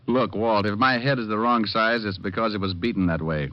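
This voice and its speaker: A man's voice: lowish voice, brisk, close to an everyday voice. A man playing a cocky, sardonic private detective who narrates and trades dry wisecracks in an old-time radio drama. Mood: needling. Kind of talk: 1940s-50s radio detective acting and narration. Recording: dull old-time radio recording, music.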